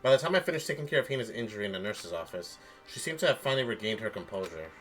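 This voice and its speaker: A man streaming a game playthrough, switching between casual chat and expressive dramatic reading. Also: fairly low pitch, very fast, faint music behind. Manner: animated, expressive.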